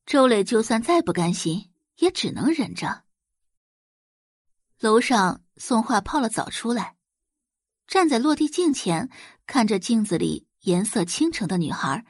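Female narrator, scripted storytelling, lively intonation, slightly husky, dry close recording. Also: medium pitch, no room sound. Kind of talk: expressive scripted audiobook narration.

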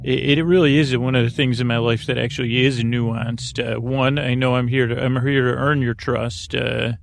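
A man hosting a bedtime podcast with a creaky voice, rambling in a lulling, hesitant, meandering way that keeps drifting into tangents. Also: mid-range voice, flat delivery, normal speed, a little hiss or hum. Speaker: podcast host. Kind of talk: rambling bedtime monologue for sleep.

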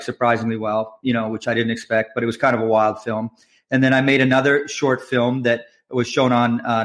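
Frank Canadian filmmaker, rambling story. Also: male voice, mid-range voice, fairly bright tone. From Canada, Nova Scotia. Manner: rambling, frank, laughs easily. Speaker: guest filmmaker. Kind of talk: casual storytelling interview.

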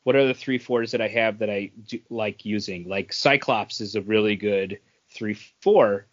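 A man's voice: lowish voice, moderate pace. A man on a podcast panel, casually explaining things step by step. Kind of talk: casual hobby chat. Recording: dull lo-fi audio.

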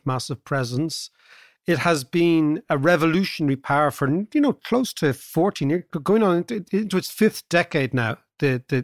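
A man's voice: fairly high pitch, no room sound, clean studio sound. A man hosting a podcast interview, asking long, conversational questions. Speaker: podcast host.

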